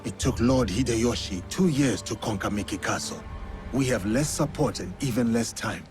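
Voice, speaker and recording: An actor playing a stoic, honourable warrior of feudal Japan in a video game, speaking in measured, formal phrases. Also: male voice, mid-range voice, music in the background, close to an everyday voice. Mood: solemn, resolute. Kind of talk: video game cutscene acting.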